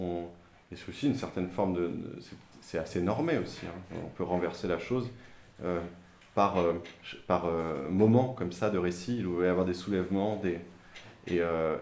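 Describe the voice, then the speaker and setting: Deep-voiced man, halting panel talk. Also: darkish tone, a little hiss or hum. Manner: hesitant, halting with many fillers and restarts. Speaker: author on a panel. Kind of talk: round-table discussion. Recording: echoey room, faint ambience.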